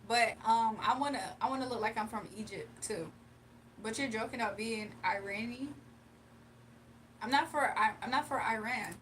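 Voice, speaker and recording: A woman's voice: fairly high pitch, moderate pace, a bit bright. A young woman chatting casually with her livestream viewers and laughing often, then doing a tarot reading. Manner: chatty, laughs often, reads chat aloud. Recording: distant mic with faint room noise.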